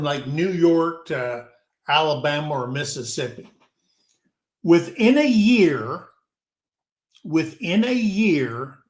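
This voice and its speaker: Male host, unhurried, rambling delivery, echoey room. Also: on the high side. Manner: rambling, repeats himself. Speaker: talk show host. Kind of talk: casual panel chat.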